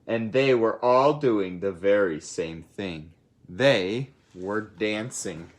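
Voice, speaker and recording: A person reading a children's picture book aloud in an animated way, with expressive asides to young listeners. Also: low-pitched, takes it slowly. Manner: expressive, asks listeners questions and comments in asides. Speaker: storybook reader.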